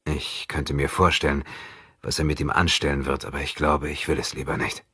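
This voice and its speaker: Man playing a stern, husky grim hero. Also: a bass voice, little pitch movement, brisk, pushed and bright, dry recording, heavily acted. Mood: subdued, reassuring. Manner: terse, controlled. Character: grim avenging male hero, stern yet protective. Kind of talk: audiobook character dialogue.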